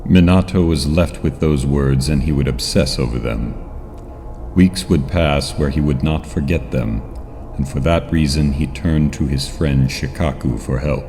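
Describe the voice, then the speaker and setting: A man's voice: rumbling low, unhurried, warm tone. A man playing a solemn storyteller, delivering scripted dramatic narration for a fan audio drama. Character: solemn male storyteller narrating a ninja saga. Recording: long hall reverb, faint noisy ambience.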